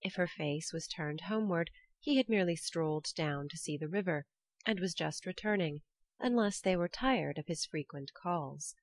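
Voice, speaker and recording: A woman's voice: lowish voice, normal speed, no room sound. A woman reading scripted storybook narration as an audiobook narrator.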